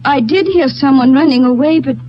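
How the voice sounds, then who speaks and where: Lady playing a nervous careful young woman, high voice, muffly old radio with music. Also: a little fast, dull audio, close to an everyday voice. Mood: worried. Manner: precise, avoids contractions. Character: anxious young woman, formal careful speech. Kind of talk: 1950s radio drama acting.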